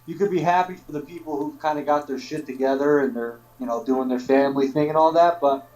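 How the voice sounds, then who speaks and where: A man's voice: a little high, brisk, darkish tone, rough recording. A man in his late twenties in a casual group chat, talking in a laid-back, reflective way. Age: 20s.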